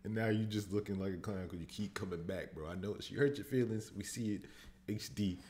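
A man's voice: lowish voice, fairly quick, a little mellow. A man hosting a reaction video, talking bluntly in casual chat from a small room at home, laughing often and calling people "bro".

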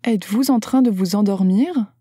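A woman's voice: medium pitch, normal speed, dark tone, husky, dry recording, clean studio sound. A woman carefully reading short phrases aloud for a language lesson, enunciating each one. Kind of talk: slow scripted phrase reading.

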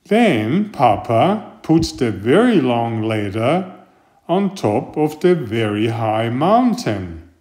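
A man reading a children's picture book aloud in a deliberate, expressive storytelling manner. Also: medium pitch. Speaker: storybook reader and author.

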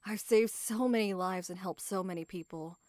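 A woman's voice: medium pitch, moderate pace, darkish tone, no room sound, studio quality, lightly acted. A woman playing a tough, proud female knight, guarded about love, speaking earnestly and formally in an anime ASMR roleplay. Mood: matter-of-fact, proud.

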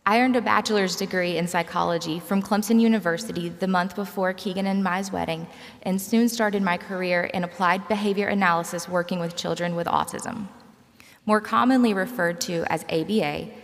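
A woman, giving a measured, prepared commencement speech and telling personal stories from a podium. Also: on the low side, fairly even intonation. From South Carolina, United States. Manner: measured, scripted personal storytelling. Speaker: graduate student commencement speaker. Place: large auditorium stage. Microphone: podium gooseneck microphone. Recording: reverberant large hall, podium microphone.

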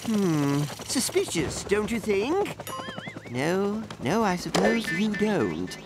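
A sensible talking ape in a cartoon, prim and easily exasperated. The delivery is slow and lively, each word carefully put, over loud music. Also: male voice, high voice, full character voice. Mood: wry, wary. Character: sensible, worrying male talking ape, George's friend. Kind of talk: cartoon voice acting.

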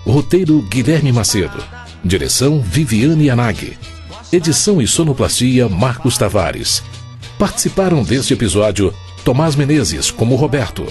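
A man's voice: mid-range voice, darkish tone. A man with a Brazilian accent reading scripted radio credits as the programme's announcer.

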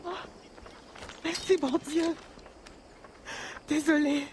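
High-voiced, earnest runaway woman. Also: fairly even intonation, takes it slowly, background noise. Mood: grateful, shaken. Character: grateful, wary runaway enslaved woman. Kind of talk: dramatic cinematic game acting.

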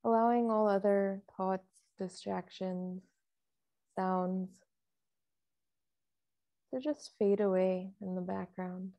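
A woman leading a guided meditation as a teacher, speaking in a calm, measured, softly instructive way with long pauses. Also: medium pitch.

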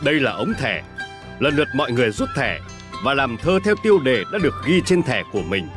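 An actor playing a solemn, benevolent king in an animated historical drama, speaking in formal, measured declamation. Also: medium pitch, lightly acted. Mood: festive, inviting. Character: solemn, benevolent adult king addressing his ministers. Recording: music behind the voice.

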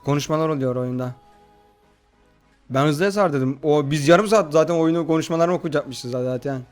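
A man hosting a horror game playthrough in slangy, excitable, casual chat, often addressing his viewers. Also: a little high, moderate pace, faint music behind. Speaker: gaming video host.

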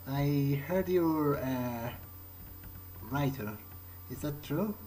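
A man's voice: a little high, drawn-out words, mellow, slight background noise. A man playing a video game in character, speaking hesitantly and thinking aloud in short phrases. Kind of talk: in-character game playthrough commentary.

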